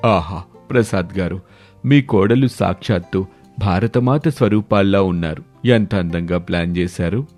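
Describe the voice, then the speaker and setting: A man's voice: deep voice, moderate pace, a little mellow, soft background music, close to an everyday voice. A man playing a dignified, patriotic old army veteran, solemn and earnest, in a moral story. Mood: respectful.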